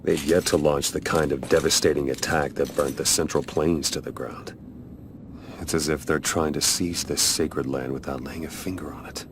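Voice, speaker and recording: Very deep, brooding warrior. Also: male voice, fairly even intonation, noisy background. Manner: measured, serious. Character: calm, brooding warrior man, terse and analytical. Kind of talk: dramatic video game cutscene acting.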